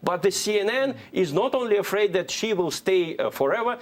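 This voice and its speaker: A man with a Russian accent argues his points as a political commentator on a panel. His voice sits somewhat high for a man. His delivery is sardonic and argumentative. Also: lively intonation, racing, a loud crowd.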